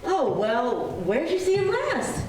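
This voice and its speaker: An eager, easily puzzled teenage girl played by a woman speaks with lively, swooping pitch and polite exclamations in 1940s radio comedy style. The voice is far from the mic in a very echoey space. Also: takes it slowly, exaggerated voice. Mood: puzzled, exasperated. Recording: very echoey, distant, faint noise.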